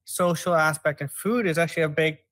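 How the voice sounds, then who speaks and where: A young American man telling stories in a relaxed way with lots of "uh"s as a podcast guest. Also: on the high side, fairly quick, no echo, studio quality. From United States. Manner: relaxed storytelling with many fillers. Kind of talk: casual conversation.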